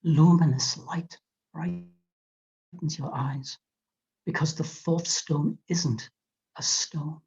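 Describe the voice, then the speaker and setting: A meditation guide leading a guided visualization in a soft, often whispered voice, speaking gently with soothing repetitions. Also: medium pitch, fairly even intonation, gravelly, slightly rough. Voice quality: soft, frequently whispered delivery. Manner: slow, gentle, drawn-out phrasing with soothing repetitions. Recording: dull lo-fi recording.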